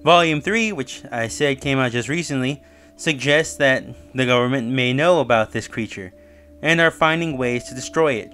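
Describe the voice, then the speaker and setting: A man's voice: mid-range voice, fairly bright tone, a little hiss or hum. A man hosting his own channel, casually recapping and reviewing a horror web series, talking loosely with fillers and self-corrections.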